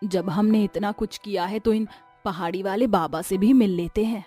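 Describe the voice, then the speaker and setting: A woman's voice: medium pitch, normal speed, dark tone, faint music behind, lightly acted. Earnest, protective mother-in-law acted by a woman. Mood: caring. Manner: caring, earnest, dramatic. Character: devoted, protective older mother-in-law turned ghostly witch. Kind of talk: cartoon story character voice.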